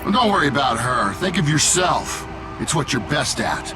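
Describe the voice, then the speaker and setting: A man's voice: medium pitch, big pitch swings, normal speed, natural voice. An actor playing a smug, commanding older businessman villain in a video game, with a condescending, taunting delivery. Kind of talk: dramatic video game acting. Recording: game dialogue under loud music.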